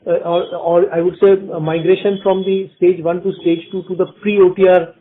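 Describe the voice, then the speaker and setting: A man with an Indian accent answering questions on an earnings call as a company executive, explaining in a halting way. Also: high voice, level tone. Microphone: phone line. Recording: tinny narrowband phone conference line.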